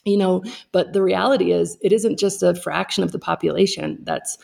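Gravelly, emphatic woman. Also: lowish voice, fairly even intonation, brisk, darkish tone. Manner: emphatic, opinionated, goes off on tangents. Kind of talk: casual impassioned discussion.

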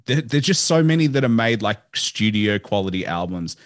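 Low-voiced man in his late twenties. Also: expressive, normal speed, no room sound, clean studio sound. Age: late 20s. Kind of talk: casual podcast chat.

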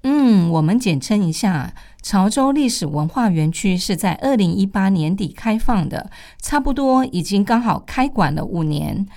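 A woman's voice: mid-range voice, lively intonation, moderate pace, few pauses, dark tone. An older woman with a Taiwanese Mandarin accent presenting a radio program in a prepared, conversational style.

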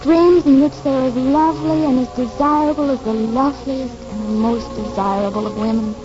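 An actress playing a lonely, plain young woman in 1940s radio drama, measured, theatrical, wistful and dignified. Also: sits high, natural voice. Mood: wistful, solemn. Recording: muffled vintage radio broadcast with music.

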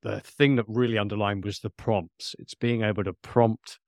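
A man's voice: on the low side, fairly even intonation, moderate pace, no room sound, studio quality. A man hosting a podcast interview in a conversational, agreeable and affirming way. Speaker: podcast host.

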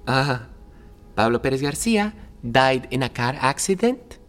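An actor playing an exasperated, incredulous revolutionary president in an audio drama, with an emphatic, questioning manner. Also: male voice, mid-range voice, big pitch swings, a little slow, fairly bright tone. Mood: indignant.

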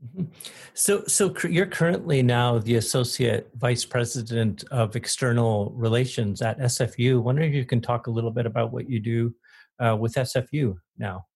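Male interviewer with a halting delivery and a gravelly, husky voice. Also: medium pitch, a little mellow. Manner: halting, hesitant questioning. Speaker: podcast host. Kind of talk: interview questions.

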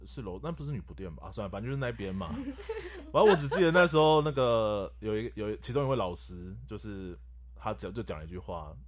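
A man's voice: lowish voice, fast talker. A man voice actor with a Taiwanese Mandarin accent, telling stories in casual chat with jokes. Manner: animated storyteller, jokes. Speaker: voice actor guest.

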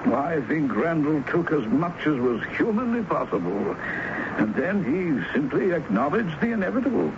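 An actor playing a wry, talkative theatre producer under questioning in an old-time radio drama, with hesitant asides and dry chuckles. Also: male voice, fairly high pitch, very animated, moderate pace. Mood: wry, emphatic. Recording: dull, noisy vintage radio recording.

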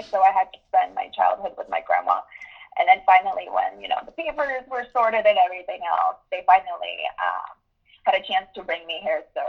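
A woman in her twenties, from Ukraine, answering interview questions with many pauses and restarts. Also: mid-range voice, creaky, phone-quality sound. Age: 20s. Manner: thoughtful, with many ums and restarts. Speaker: guest journalist.

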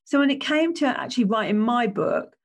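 Croaky-voiced woman podcast host. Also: on the high side, animated, brisk. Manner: chatty, self-correcting, conversational. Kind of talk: solo business podcast.

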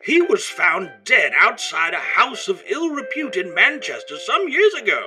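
An actor playing a jolly, pompous old-time English radio host, prim and flowery in a mannered comedy of manners. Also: male voice, high voice, huge pitch swings, measured pace, pushed and bright, faint music behind, heavily acted. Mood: chatty, pompous.

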